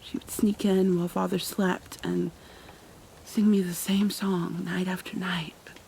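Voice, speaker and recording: A woman playing a tender, obsessive female kidnapper soothing her captive in an intimate ASMR roleplay, speaking softly and haltingly, often in breathy whispers. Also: lowish voice, little pitch movement. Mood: haunted, wistful. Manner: hesitant, halting, coaxing. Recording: clear background noise, rain ambience.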